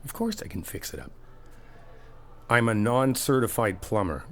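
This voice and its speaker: A man's voice: mid-range voice, very animated, normal speed, vocal fry. A man doing a friendly ASMR roleplay, chatty and teasing, reassuring the listener as he helps out. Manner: reassuring, helpful.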